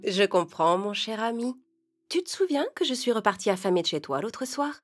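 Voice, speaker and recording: A woman voicing a polite, patient female stork in a children's animated fable, speaking softly with gentle reproach. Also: mid-range voice, normal speed, faint music behind, lightly acted. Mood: gentle, forgiving.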